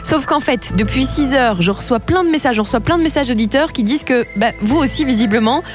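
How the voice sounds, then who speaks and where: A woman's voice: high voice, expressive, fairly quick, faint music behind. A woman, co-hosting a morning radio show in chatty, playful banter.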